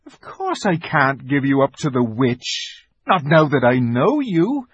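A man voicing a timid, weeping male faun who pleads slowly and frets. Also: medium pitch, very animated, slightly rough, no echo, highs cut off. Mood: anxious. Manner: fretful, pleading, slow and drawn out.

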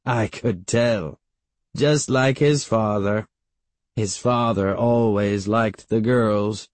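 A man voicing a big, loud old great-aunt in a storybook, teasing and menacing, with bellowing, probing questions. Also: slow pace, no room sound. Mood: leering, probing. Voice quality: deep-throated.

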